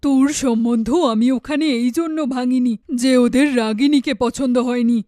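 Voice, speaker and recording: A woman's voice: a little high, steady tone, moderate pace, warm tone, no room sound, studio quality, voice pushed down, close to an everyday voice. A woman voicing a stern, protective middle-aged mother in a cartoon, with a scolding, indignant manner. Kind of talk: cartoon dialogue.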